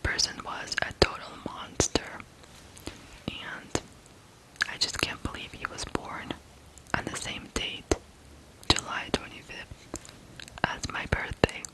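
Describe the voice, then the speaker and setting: An ASMR host from Ukraine telling true crime stories in a breathy whisper, in deliberate phrases with pauses. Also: low-quality recording.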